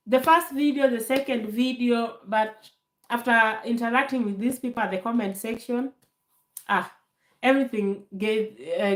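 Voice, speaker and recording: Calm, slow-talking woman on a livestream. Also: a little high, roomy sound. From Kenya. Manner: calm, slow, laughs often, many fillers. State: recovering from a bad cold. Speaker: livestream host. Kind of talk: casual live Q&A chat. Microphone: phone held to camera.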